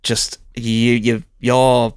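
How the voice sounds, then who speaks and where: A man's voice: medium pitch, level tone, drawn-out words, clear, resonant tone, no echo, studio quality. A man co-hosting a podcast, chatting casually with sweary enthusiasm and often repeating himself as he finds words. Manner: sweary, enthusiastic, hesitant repetition. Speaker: podcast co-host. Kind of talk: casual review chat.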